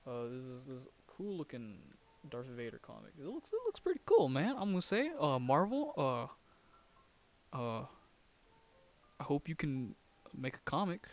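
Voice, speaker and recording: Male podcast co-host, mid pitch, rambling and chatty, stumbles and laughs often. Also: wildly swooping pitch, normal speed, soft background music. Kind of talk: casual chat.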